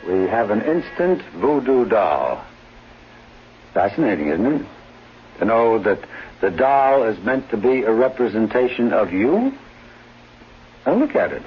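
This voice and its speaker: Sinister taunting man, menacing, very animated intonation, 1970s radio acting, dull broadcast recording. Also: lowish voice, slight background noise. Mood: menacing, teasing. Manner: slow, hypnotic, menacing. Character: sinister, taunting man toying with a voodoo doll.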